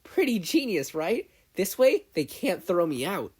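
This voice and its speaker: A performer voicing a brash, self-important, excitable teenage girl inventor in a story reading. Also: mid-range voice, unhurried, husky, pitched above the performer's own voice. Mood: whiny, cocky. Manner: loud, exasperated, boastful.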